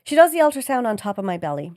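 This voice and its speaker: A woman co-hosting a podcast, telling an oversharing story in animated, casual chat. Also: a little high, very fast, no room sound, studio quality. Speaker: podcast co-host.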